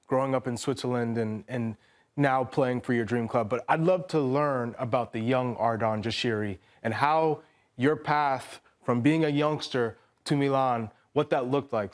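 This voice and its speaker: A man presenting a sports show, putting a conversational question to a guest. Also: mid-range voice.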